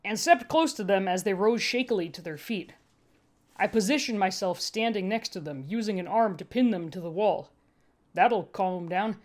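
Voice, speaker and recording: Woman, podfic narrator, mid-range pitch, first-person storytelling, dry and wry. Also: expressive, moderate pace.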